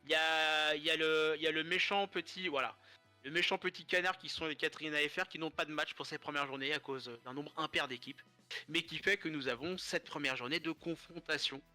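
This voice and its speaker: Hoarse, congested male esports caster with a bright, forceful tone; joking, rambling play-by-play. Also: a little high, fairly even intonation, fairly quick, faint music behind. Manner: joking, rambling casual commentary. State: stuffy nose, hoarse from a cold. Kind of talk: live esports commentary.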